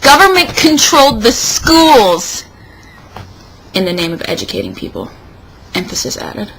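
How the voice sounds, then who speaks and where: Bright-voiced young American woman, sarcastic. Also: fairly high pitch, huge pitch swings, measured pace, slightly rough, rough recording. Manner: sarcastic, emphatic delivery. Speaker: co-host. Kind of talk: mock-dramatic reading aloud with casual banter.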